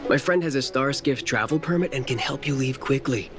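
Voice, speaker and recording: A man playing a nervous, evasive low-ranking orderly and furtive fixer in a video game, hesitant in his delivery. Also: fairly quick, lightly acted. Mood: flustered, hushed. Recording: loud music behind the voice. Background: game music.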